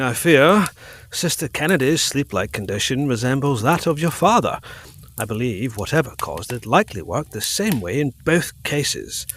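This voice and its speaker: An actor playing a grave, concerned doctor in a gothic audio drama, speaking formally. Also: male voice, mid-range voice, fairly bright tone, breathy edge. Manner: formal, measured. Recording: faint ambient noise behind voice.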